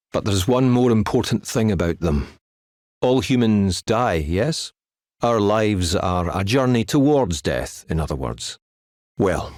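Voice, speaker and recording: A man's voice: low-pitched, dry recording, clean studio sound, exaggerated voice. A man with a Scottish accent playing an earnest, weary wizard mentor in a video game cutscene. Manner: explanatory, measured, folksy.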